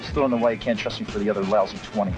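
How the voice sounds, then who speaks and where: A man's voice: lowish voice, steady tone, racing, lightly acted. A man with an American accent playing a defensive, pleading drug buyer in a 1970s training film. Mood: pleading, grumbling. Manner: defensive, casual street talk. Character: undercover buyer posing as a pleading, short-of-cash drug customer. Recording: dull 1970s film sound with music.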